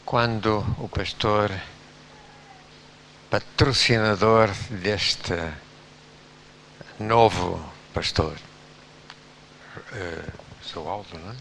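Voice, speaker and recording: A man addresses a congregation slowly and urges them on. His voice is low but bright and forceful. A faint hum runs under the recording. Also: creaky, poor audio. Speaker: pastor. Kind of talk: church address.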